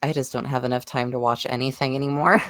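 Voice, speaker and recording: A man's voice: mid-range voice, fairly even intonation, fast, a bit bright. A man taking part in a casual group podcast chat as a panelist.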